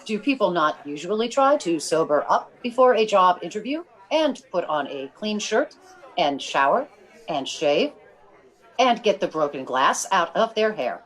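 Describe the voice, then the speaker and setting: A woman voices a robot bartender in a comic radio play. The machine is polite, literal and formal, picking out each word precisely in a lilting, varied pitch. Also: big pitch swings, unhurried, breathy edge, full character voice. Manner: formal, precise, without contractions. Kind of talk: comic old-time-radio-style acting.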